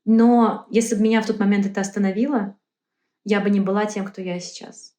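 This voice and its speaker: A woman's voice: medium pitch, steady tone, mellow. Female interview guest, earnest, stop-start delivery, mid-range voice, echoey room sound. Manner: earnest, halting, with many self-corrections. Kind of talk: casual interview talk. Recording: roomy echo.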